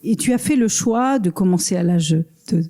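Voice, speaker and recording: A woman's voice: mid-range voice, lively intonation, normal speed, warm tone. A woman hosting a book club, asking an author questions with hesitant, searching phrasing and many fillers.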